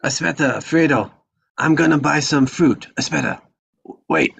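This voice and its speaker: A man playing an easygoing, fatherly old mafia don in a table read, with a gravelly, husky voice. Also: fairly high pitch, normal speed, fairly bright tone, exaggerated voice. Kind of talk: live table read of a film script.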